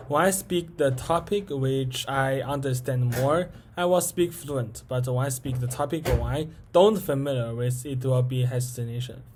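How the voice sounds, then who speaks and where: A young man, a native Chinese speaker and international university student, answers set questions for a spoken English assignment, hesitant and halting, with fillers and restarts. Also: on the high side, a little mellow.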